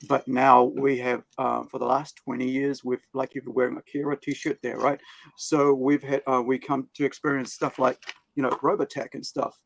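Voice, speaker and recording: A man with a New Zealand accent talks casually as a livestream host. His voice has plenty of creak and sits at an ordinary pitch, recorded close and dry, and he wanders through his thoughts conversationally. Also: level tone, normal speed, no echo, low-quality recording.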